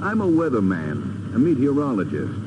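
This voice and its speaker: An actor playing an affable, talkative professor with a genteel, chatty manner, in 1950s radio drama style. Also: male voice, mid-range voice, huge pitch swings, normal speed. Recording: muffled, noisy old 1950s radio recording.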